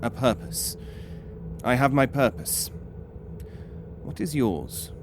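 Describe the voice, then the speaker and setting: A man's voice: mid-range voice, animated, slow pace, slight background noise. A man playing an uneasy, stern doctor in an audio horror drama, speaking with formal, clinical control. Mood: clinical, probing. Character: uneasy, stern British male doctor interrogating a prisoner.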